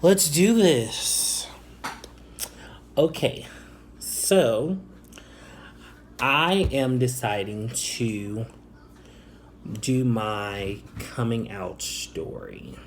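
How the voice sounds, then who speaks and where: A young man from the Chicago area telling a personal story to camera, soft-spoken and hesitant, with many ums and restarts. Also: mid-range voice, expressive, drawn-out words, long pauses, a little hiss or hum. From Northwest Indiana, Chicago area. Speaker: vlogger.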